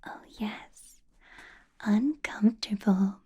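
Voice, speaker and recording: A woman voicing a possessive ancient female vampire boss in a whispered ASMR roleplay, soft and doting with a quiet menace, close to the microphone. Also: mid-range voice, slow pace, husky, dull audio. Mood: sweetly menacing.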